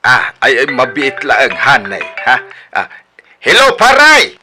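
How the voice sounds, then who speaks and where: A man's voice: mid-range voice, wildly swooping pitch, takes it slowly, over music. A man with an Ilocano accent playing a sly, evasive, stammering philandering husband in a radio comedy drama. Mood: sheepish.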